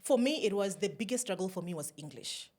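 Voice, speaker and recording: A South African woman telling her story in a casual interview, animated, laughing often and slipping in local phrases. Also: mid-range voice, a little fast, a little hiss or hum. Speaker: guest, YouTube creator.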